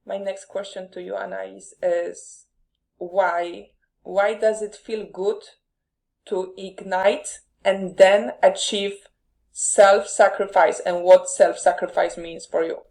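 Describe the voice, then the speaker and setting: Slow, gravelly woman with a Polish accent. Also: medium pitch, flat delivery, darkish tone. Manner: thanks listeners by name, chatty. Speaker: podcast host. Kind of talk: hosting a live online audio event.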